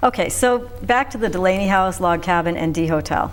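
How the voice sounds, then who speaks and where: A woman hosting a casual interview, friendly, quick to laugh, with lots of brief affirmations. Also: on the low side, a little hiss or hum. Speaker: host. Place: TV studio.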